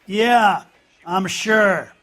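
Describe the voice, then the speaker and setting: High-voiced, guarded old shopkeeper. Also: male voice, very slow, a bit bright, a little hiss or hum, exaggerated voice. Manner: guarded, curt. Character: wary, unhelpful older shopkeeper.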